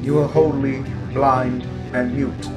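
Evil robot overlord, played by a man for audio roleplay: male, coldly superior, very measured pace, stuttering glitches, music underneath. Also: medium pitch, flat delivery, lightly acted, rough recording. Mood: calm, superior. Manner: deliberate, glitching stutters on words. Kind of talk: scripted robot roleplay monologue.

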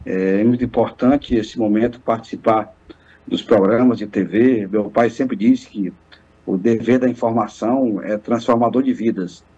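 A man's voice: on the low side, fairly even intonation, a little slow, mellow, slightly rough. A man in his thirties from Piauí, Brazil, a lawyer speaking earnestly and formally on a video call from his office, often restarting his phrases. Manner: earnest, speechlike, frequent hesitant restarts. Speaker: lawyer and guest. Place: office with bookshelves, indoors. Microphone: webcam on video call. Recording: muffled video call audio.

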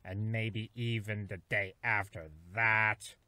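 A man voicing a weary, grudging chimera creature in a fan-made cartoon, speaking deliberately and reluctantly. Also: on the low side, flat delivery, sharp tone, dry recording, heavily acted. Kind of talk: fan animation voice acting.

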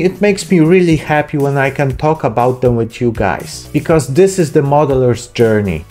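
A man's voice: mid-range voice, a little mellow. A man in his thirties narrating a miniature painting vlog as the channel's host.

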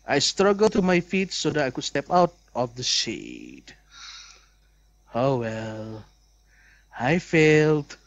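A man's voice: medium pitch, very animated, takes it slowly, gravelly, long pauses, no room sound. A young man reads a visual novel aloud as a let's play host, with dramatic expression and asides.

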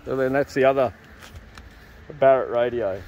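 A man's voice: mid-range voice, moderate pace, highs cut off. An Australian man chatting and narrating casually off the cuff while filming outdoors at a campsite. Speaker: camper filming and guiding a radio call. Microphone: handheld camera or phone mic. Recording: noisy outdoor phone-camera recording.

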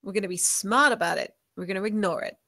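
A woman's voice: medium pitch, fast, sharp tone, no echo, clean studio sound. A woman playing a stern, commanding mother who runs a gang, speaking firmly and tersely in a drama table read. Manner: firm, terse.

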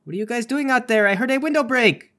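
A man's voice: extremely high pitch, fast, bright, forceful tone, voice pushed up. A man voicing a baffled, skeptical woman under arrest, puzzled and questioning, in a comedy tabletop game. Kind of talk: improvised comedy tabletop roleplay.

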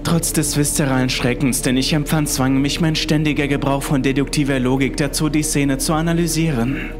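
A man's voice: medium pitch, steady tone, a little fast. A man reading a scripted first-person horror story as its narrator. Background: music.

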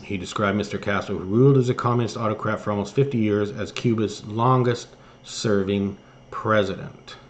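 A man hosting an online news commentary, reading articles aloud and remarking on them somewhat haltingly. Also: fairly low pitch. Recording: noticeable background noise.

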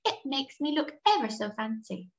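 A woman's voice: sits high, big pitch swings, moderate pace, pitched above the performer's own voice. An Irish woman voicing a showy, preening but helpful sunfish in a children's storybook. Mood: vain, pleased. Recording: muffled, echoey room recording.

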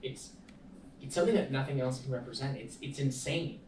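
A young man reading the part of an earnest, hesitant college student at a film table read, speaking in a halting way. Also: mid-range voice, darkish tone, close to an everyday voice. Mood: reflective. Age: young adult. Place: small meeting room, indoors. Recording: echoey room, distant mic, clear noise.

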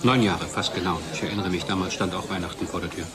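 An actor playing a matter-of-fact colleague making small talk in an audio drama. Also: male voice, deep voice, steady tone, fast, a crowd in the background, close to an everyday voice. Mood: casual. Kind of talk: dialogue radio-play acting.

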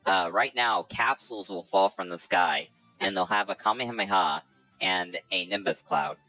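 A man's voice: deep voice, normal speed, bright, forceful tone, soft background music, rough recording. A young man co-hosting a podcast, chatting casually and with opinionated enthusiasm about video games. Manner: opinionated, enthusiastic, rambling with fillers. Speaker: podcast co-host.

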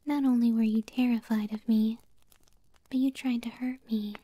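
A doting, possessive monster moth girlfriend murmurs in an intimate ASMR roleplay, acted out by a woman. Her whisper is very mellow and full of breath, sweet and cooing. It sits close to the microphone in a dry, echoless space. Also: on the high side, fairly even intonation, moderate pace, natural voice. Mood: coy, possessive.

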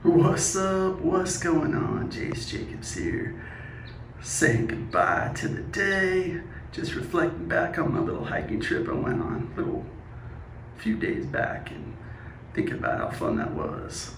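A man in his forties, a spoken word poet, chatting casually and reciting rhymes on a hiking trail, relaxed and upbeat. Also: fairly high pitch, unhurried, vocal fry, reverberant, a little hiss or hum. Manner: upbeat, laid-back, laughs easily. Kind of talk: casual vlog chat and rhyming poetry.

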